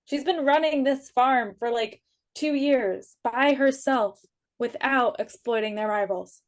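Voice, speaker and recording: A woman's voice: high voice, measured pace. A woman reading the part of a guarded, principled sixteen-year-old emo shop worker, blunt and dry, in a drama table read. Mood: defensive.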